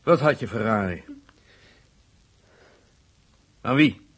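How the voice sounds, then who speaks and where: A man's voice: mid-range voice, lively intonation, slow, slightly rough, full character voice. A man playing an insistent, probing adult questioner in a radio play, terse and pressing.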